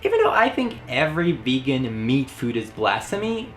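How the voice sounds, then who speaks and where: A man's voice: medium pitch, wildly swooping pitch, moderate pace, soft background music. A young man with a Hungarian accent, guest-hosting with playful, sarcastic asides. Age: young adult. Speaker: guest host. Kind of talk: joking commentary.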